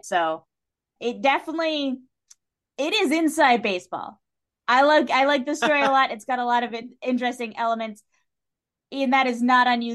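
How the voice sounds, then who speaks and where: Casting: female podcast guest, informal and salty-tongued, animated delivery in casual literary chat. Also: a little high. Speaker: guest on a book discussion podcast.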